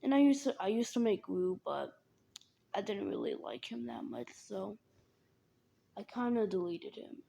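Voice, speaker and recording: A young woman who speaks haltingly, often repeating and restarting her words, as she talks casually to her viewers. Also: medium pitch, very animated, gravelly, warm tone, a little hiss or hum. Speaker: animation creator. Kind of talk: casual vlog appeal.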